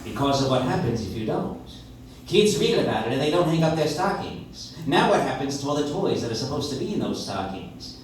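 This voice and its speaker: A hard-nosed political crony played by a man argues in punchy, sardonic lines, his voice on the high side. It is old-time radio acting, heard from far off in a very echoey room. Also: very animated, fairly quick, a little hiss or hum. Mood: sardonic, urgent. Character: wisecracking, hard-nosed political fixer, blunt male crony. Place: coffee shop stage, recorded from the audience. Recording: echoey live stage, distant audience recorder.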